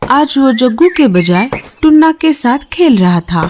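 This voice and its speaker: A woman's voice: sits high, lively intonation, a little slow, darkish tone. A woman narrating a scripted children's story as a storyteller. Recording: clear rain noise behind voice.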